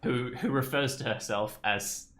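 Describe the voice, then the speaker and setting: Bright-voiced man commenting on a game. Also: mid-range voice, unhurried. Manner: rambling, self-deprecating. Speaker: game streamer. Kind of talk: casual let's play commentary.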